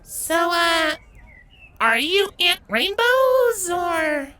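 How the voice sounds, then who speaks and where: Little changeling princess filly, super high and bright, earnest, gets huffy, storybook style. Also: female voice, sing-song, extremely slow, exaggerated voice. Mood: tentative. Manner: hesitant, polite, easily huffy.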